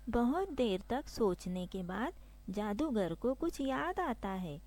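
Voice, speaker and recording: Woman storyteller, high voice, reads a kids story real slow. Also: sing-song, noisy background. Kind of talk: scripted children's story narration.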